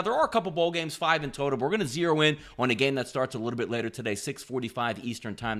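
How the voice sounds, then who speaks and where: A man's voice: medium pitch, a bit bright. A man hosting a sports betting podcast, giving picks straight to listeners in a conversational, emphatic, sales-like way.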